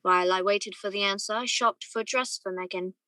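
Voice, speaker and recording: A wistful young gentleman played by a woman tells his love story in a radio play. The voice is bright and forward, recorded close and dry. He sounds earnest and torn. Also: medium pitch, steady tone, a little fast, no echo, natural voice. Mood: wistful narration. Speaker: student or guest actor in a radio play. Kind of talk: radio play acting with first-person narration.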